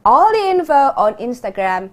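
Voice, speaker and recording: A woman co-hosting an online storytelling festival with casual, playful, expressive banter. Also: on the high side, normal speed.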